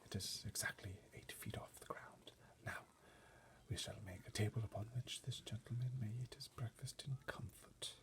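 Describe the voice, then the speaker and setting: A man's voice: fairly low pitch, close to an everyday voice. Man playing a whispering, fussy royal butler. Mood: hushed, commanding. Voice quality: whispery, breathy. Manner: formal, measured orders. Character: discreet, decisive royal butler, fussy and commanding. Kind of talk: storybook readaloud character voice.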